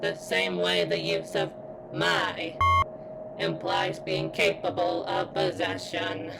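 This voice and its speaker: Woman acting as a guarded robot girl, very low pitch, heavy vocal fry, stilted formal diction, strained as if hurting, ASMR roleplay, noisy background. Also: animated, slow, heavily acted. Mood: halting, strained. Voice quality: strained, as if in constant pain. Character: robot girl, surveillance bot, guarded, pained, yearning for sentience.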